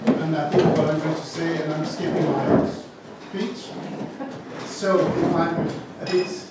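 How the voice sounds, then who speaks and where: A man's voice: fairly high pitch, steady tone, a little slow, heavy background noise. Danish-accented man, middle-aged, raised voice, echoey room, distant mic. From Denmark. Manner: informal, self-correcting, with handheld mic. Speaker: gene bank speaker. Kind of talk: seminar talk to an audience. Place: large room with seated audience. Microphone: handheld mic, far camera. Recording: echoey room recorded from afar.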